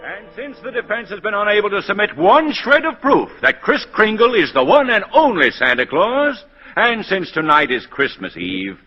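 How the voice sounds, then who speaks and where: An actor playing a pompous, impatient prosecutor with oratorical, sarcastic delivery in a 1940s radio drama. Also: male voice, high-pitched, sing-song, normal speed, soft background music. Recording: muffled vintage 1940s radio recording.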